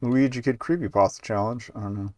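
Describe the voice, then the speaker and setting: A man's voice: mid-range voice, fast talker. A man giving casual live game commentary as a streamer, rambling and hesitant, with muttered asides.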